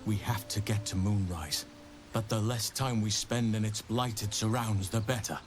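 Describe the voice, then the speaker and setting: An actor playing an earnest, learned druid burdened by regret, speaking in measured, formal phrases in a fantasy video game. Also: male voice, on the low side, fairly even intonation, close to an everyday voice. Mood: grave, regretful. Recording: game music behind.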